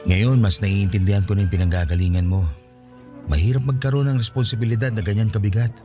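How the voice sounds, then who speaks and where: An actor playing an earnest young man who gently and sincerely asks a coworker for a date. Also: lowish voice, fairly even intonation, fast, over music, close to an everyday voice. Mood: understanding. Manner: sincere, gentle, openly affectionate. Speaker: radio drama actor. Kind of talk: radio drama acting.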